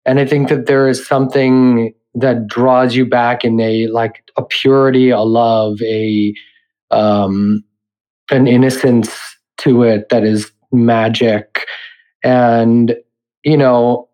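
A man gives a casual, reflective interview as a creative guest. He sounds earnest, pausing and restarting as he searches for the right word, in a mid-range voice. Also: mid-range voice, little pitch movement, darkish tone, rough recording. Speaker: podcast guest, theater and film creative.

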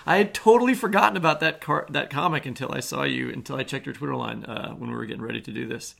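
A man's voice: mid-range voice, fast, creaky, a bit bright. A middle-aged man co-hosting a podcast in a casual, chatty style. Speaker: podcast co-host. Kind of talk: casual chat.

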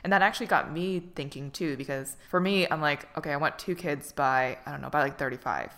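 A woman's voice: deep voice, fairly quick, a bit bright. A woman in her thirties in a casual chat with friends, chatty and teasing. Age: 30s. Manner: chatty, teasing, laughs easily.

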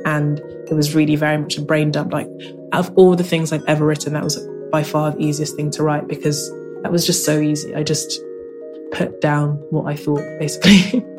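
A woman's voice: low-pitched, a little fast, over music. A young woman, born in Nigeria, talking reflectively in an interview and leaning on fillers like "kind of". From Nigeria, raised in South London. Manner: relaxed, many fillers like "kind of". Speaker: interviewee, writer.

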